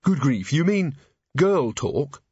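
A man voicing a dry, laconic female watch officer in an audiobook, gently teasing. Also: a little high, big pitch swings, takes it slowly, husky, dry recording, lo-fi sound, voice pushed up, exaggerated voice. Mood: wry, relaxed. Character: wry, unflappable female city watch officer.

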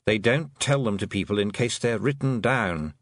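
A man's voice: on the low side, normal speed, no echo, clean studio sound, natural voice. A man voicing a talking toad who explains things in a murmuring, matter-of-fact, knowing way.

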